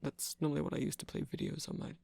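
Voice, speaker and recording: Guy playing a geeky rambling vampire, soft gravelly asmr voice, tons of vocal fry, really dry close sound. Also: fairly high pitch, huge pitch swings, quick pace, no echo, heavily acted. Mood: nerdy, rambling. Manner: rambling, hesitant, self-conscious geeky explaining. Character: nerdy, rambling, friendly male vampire shopkeeper. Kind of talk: ASMR roleplay, explaining technology.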